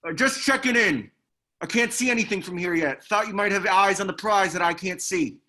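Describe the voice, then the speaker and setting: A man's voice: sits high, a little fast, sharp tone. A man playing a cocky, teasing comrade calling in by hand radio in a screenplay table read, breezy and clipped. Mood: businesslike.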